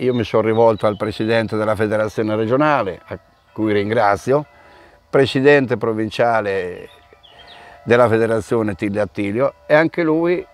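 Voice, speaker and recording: A middle-aged man, the event organizer, explaining at length in an outdoor interview with frequent restarts. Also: mid-range voice. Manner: hesitant, restarts often, storytelling. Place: outdoor park by a car park.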